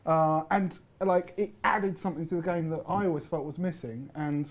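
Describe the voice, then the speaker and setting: A man's voice: a little high, fairly even intonation, normal speed. A man chatting casually as a game developer, explaining his thoughts on work. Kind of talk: casual chat, reflective explanation. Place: live stream room in an office.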